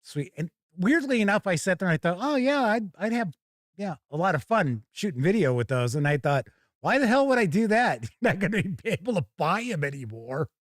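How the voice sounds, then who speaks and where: Guy doing a gaming news livestream, kinda high voice, really up and down, folksy and wry, dry close sound. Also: big pitch swings, a little fast, dry recording. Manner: folksy, wry, jokey asides. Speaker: host of a live tabletop gaming news show. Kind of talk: casual livestream chat and news reading.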